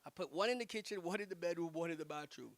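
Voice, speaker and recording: A young man performing a stand-up comedy set at a wedding reception on a handheld mic, telling animated stories and laughing at his own jokes. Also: a little high, very fast, no room sound. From Jamaica. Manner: animated storytelling with self-amused laughs.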